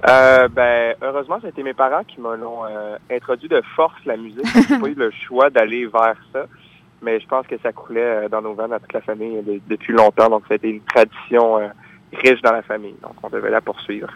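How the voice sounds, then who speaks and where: A man's voice: mid-range voice, fairly even intonation, brisk, no echo. A young man, born in France, answering interview questions as a singer-songwriter, with many fillers and restarts. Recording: dull lo-fi recording.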